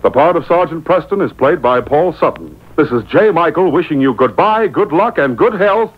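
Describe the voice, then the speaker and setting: A man's voice: animated, moderate pace, husky. A man narrating and announcing an old radio adventure serial in scripted, vintage broadcast style. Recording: tinny, faintly noisy boombox taping of radio.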